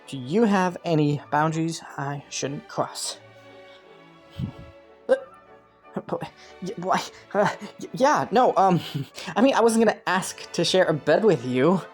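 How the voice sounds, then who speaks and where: A woman's voice: on the low side, expressive, slow pace, long pauses, darkish tone, soft background music. A woman playing a young scheming prince in a romantic audio roleplay, courteous and formal, with flustered stammers.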